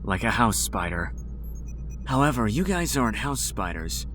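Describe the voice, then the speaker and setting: A man's voice: lowish voice, very animated, moderate pace, fairly bright tone, slight background noise. A man playing an arrogant, contemptuous, overworked boss in a motion comic, with a condescending, taunting delivery. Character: arrogant, contemptuous, overworked male higher-up in a deadly game. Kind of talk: scripted motion comic voice acting.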